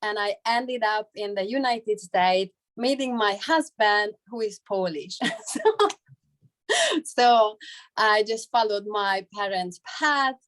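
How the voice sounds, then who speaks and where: A woman from Hungary in her thirties, a native Hungarian speaker and translator, sharing a personal story on a video call, halting and laughing often. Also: medium pitch, a bit bright.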